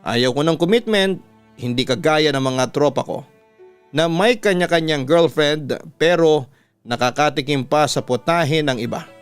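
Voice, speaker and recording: A man with a Filipino accent reads a personal story in a radio announcer's dramatic style. His voice is smooth and resonant, pitched a little high for a man. Soft music plays underneath. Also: a little slow, no room sound. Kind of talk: dramatic first-person letter narration. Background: music bed.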